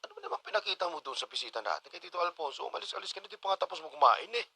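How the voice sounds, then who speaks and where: A man with a Filipino accent playing a playful, teasing and excitable grown son in a radio drama. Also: sits high, racing, husky, dry recording, exaggerated voice. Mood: coaxing, playful. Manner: animated, teasing.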